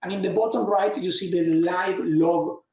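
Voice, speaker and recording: A man's voice: high-pitched, fairly even intonation, moderate pace, darkish tone. A man from Argentina, speaking English, gives an enthusiastic technical demo talk in loose phrasing. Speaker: conference speaker. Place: home room, streamed talk. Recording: echoey, distant, dull mic.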